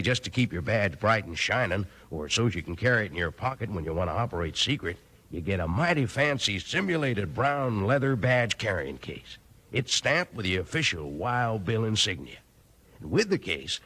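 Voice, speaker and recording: A man with an American accent delivering a folksy, emphatic 1950s Western-style radio advertisement in a husky voice. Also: on the low side, bright, forceful tone. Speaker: radio commercial announcer.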